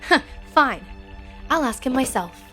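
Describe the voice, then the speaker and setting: A woman's voice: high voice, animated, a little slow, pushed and bright, faint music behind, exaggerated voice. A woman playing a determined, headstrong young crew leader in a sci-fi audio drama, direct and insistent. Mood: demanding.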